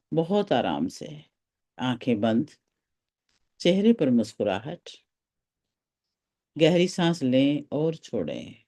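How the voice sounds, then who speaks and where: A meditation guide speaking gently and without hurry, leaving pauses between short phrases of calm instruction. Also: medium pitch.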